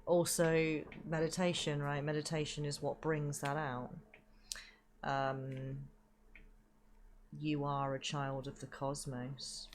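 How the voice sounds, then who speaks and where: A woman with a low, fairly monotone voice speaks at an unhurried pace. She gives a meandering spiritual reading full of fillers and the odd curse word. Her nose is stuffy and sniffly from hay fever. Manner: meandering, halting with many fillers, casual swearing. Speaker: spiritual reader. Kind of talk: rambling spiritual reading to viewers.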